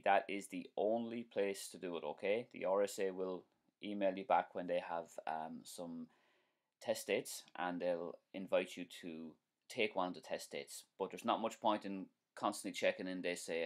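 A man in his forties with an Irish accent, hosting a live stream as a driving instructor, chatting casually and answering viewer comments with plenty of ums and restarts. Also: sits low. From Ireland. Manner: chatty, friendly, repeats himself, many um and uh fillers. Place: small indoor room at home.

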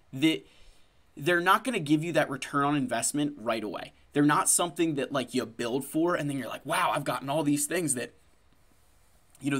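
A man's voice: medium pitch, a bit bright. A man in his thirties with a cold, sniffling, hosting a casual talk about a mobile game from his desk at home and often tagging his points with "right?". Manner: conversational, lots of "right?" and "like". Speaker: gaming channel host.

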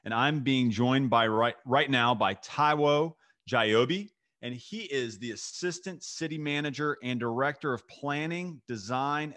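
A man's voice: mid-range voice, a bit bright, dry recording, clean studio sound. A man in his thirties from North Carolina, hosting a video-call interview in an eager, effusive way, often repeating words. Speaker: podcast host. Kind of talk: enthusiastic interview chat. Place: home office with bookshelves. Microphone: studio mic on boom arm, video call.

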